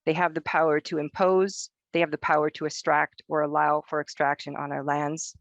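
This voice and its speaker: A woman from Northern Norway, a researcher giving a prepared academic presentation, reading her text in a measured way. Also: low voice, little pitch movement, vocal fry, no room sound, lo-fi sound.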